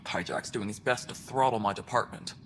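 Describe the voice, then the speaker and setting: A man's voice: on the low side, a little fast, a little hiss or hum, lightly acted. A man playing a pragmatic, frustrated space station superintendent in a video game. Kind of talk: video game dialogue acting. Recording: faint background ambience.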